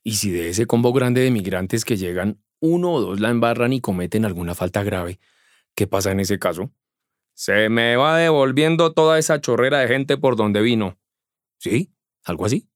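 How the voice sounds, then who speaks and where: A man's voice: medium pitch, expressive, normal speed, dry recording, clean studio sound. A man with a Colombian accent playing a curious, folksy neighbor who asks about migration rights in slangy everyday speech. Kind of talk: scripted radio drama dialogue.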